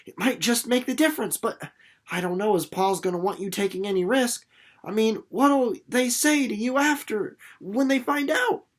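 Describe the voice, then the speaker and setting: Storybook country boy voiced by a man, teenage, earnest, folksy, pleading, slightly halting. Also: high voice, pitched above the performer's own voice. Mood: hesitant, hopeful.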